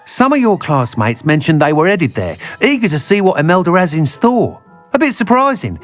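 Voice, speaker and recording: A man playing an enthusiastic, earnest broom maker in a video game. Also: on the high side. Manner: eager, earnest. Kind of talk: video game character dialogue. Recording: game music behind. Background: game music.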